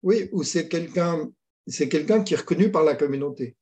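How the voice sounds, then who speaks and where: A man speaking as a mediator in a conversation, thoughtful and halting, often restarting his sentences. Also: on the high side, lively intonation, dark tone. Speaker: mediator, guest.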